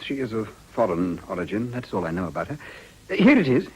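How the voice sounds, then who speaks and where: An actor playing an ambitious moral crusader, a gentleman with a smooth, formal, measured and insinuating manner in a radio drama. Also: lowish voice, very animated, low-quality recording. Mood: businesslike. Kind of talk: period radio drama acting.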